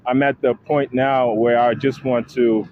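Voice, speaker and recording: A young man from Haiti talks easily as a guest, repeating words and leaning on fillers. His voice sits at a middle pitch. The recording is dull and lo-fi, with a faint hiss behind him. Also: level tone, unhurried. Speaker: travel content creator, guest. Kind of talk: casual interview chat. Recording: dull lo-fi sound, faint noise.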